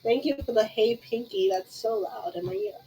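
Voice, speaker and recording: A woman's voice: on the high side, normal speed, dark tone, soft background music, low-quality recording. A woman game streamer chatting casually on a livestream.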